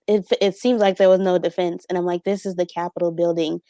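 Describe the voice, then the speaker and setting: A woman's voice: fairly low pitch, level tone, quick pace, warm tone, no room sound, studio quality. A young woman on a discussion panel, talking in a hesitant, thoughtful way with many fillers and restarts. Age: young adult. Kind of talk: casual reflective discussion.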